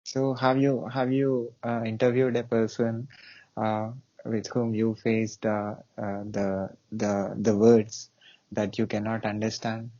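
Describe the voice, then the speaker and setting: A man hosting a casual online interview, speaking haltingly with frequent restarts and "uh"s. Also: on the low side, level tone, dark tone, highs cut off. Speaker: interview show host.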